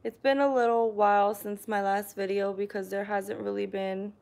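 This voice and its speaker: A woman's voice: medium pitch, little pitch movement, moderate pace, creaky, a little mellow. A young woman from New York chatting casually on a live stream, hesitant with many ums, in her living room. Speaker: vlogger reading live chat comments. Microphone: camera on a tripod.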